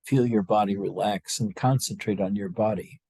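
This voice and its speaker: A man leading a guided meditation as a spiritual teacher, speaking to a group in a slow, halting, unscripted way with long pauses. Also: fairly low pitch, fairly even intonation, dark tone, breathy edge, no echo.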